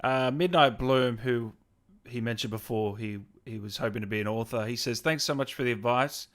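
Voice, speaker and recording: A man's voice: medium pitch, normal speed, fairly bright tone. A man hosting a casual interview, speaking in an agreeable, slightly hesitant conversational way. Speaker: interviewer, channel host. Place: on a video call.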